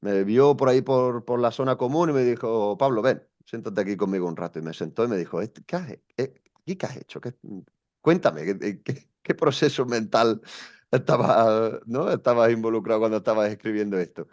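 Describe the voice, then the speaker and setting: A man's voice: mid-range voice, brisk, a little mellow, muffled recording. A young man from Spain, a guest telling his story in an interview, thoughtful and hesitant with many restarts. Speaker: guest, education coach.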